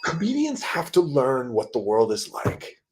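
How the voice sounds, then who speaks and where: Man playing Laird, earnest, deliberate, stubborn son. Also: mid-range voice, wildly swooping pitch, noisy background. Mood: reflective. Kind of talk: improvised sketch comedy.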